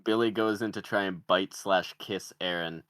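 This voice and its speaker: Very deep male screenplay narrator. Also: steady tone, moderate pace, a bit bright, no room sound, studio quality. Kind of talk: reading screenplay stage directions.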